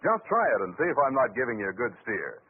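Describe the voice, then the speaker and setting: American guy doing old 1940s radio announcer pitch, very lively, tinny sound. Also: quick pace, breathy edge. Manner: polished salesman-like delivery. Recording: tinny, faintly noisy 1940s radio recording.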